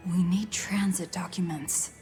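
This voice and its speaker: A woman's voice: on the low side, measured pace, lightly acted. A woman voicing a sullen, cynical young woman in a video game, guarded, curt and bitter. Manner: curt, flat-spoken, bitter. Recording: music behind the voice.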